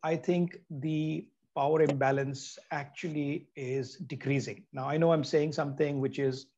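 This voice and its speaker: A man from India speaking as a panelist on a video call, measured and reflective. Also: fairly high pitch, steady tone, a little mellow. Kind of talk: panel discussion. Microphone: webcam on a video call. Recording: dull video call audio.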